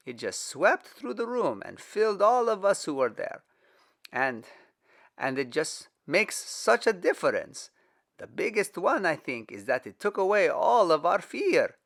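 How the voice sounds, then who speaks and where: Man putting on a high, excited young man, emphatic, gravelly edge, storybook voice. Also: exaggerated voice. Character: young male believer, eager and awestruck.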